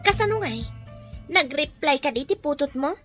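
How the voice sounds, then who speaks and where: A woman's voice: high-pitched, lively intonation, moderate pace, a bit bright, faint music behind. A woman playing an anxious, practical wife with a nagging, concerned manner in an Ilocano radio drama.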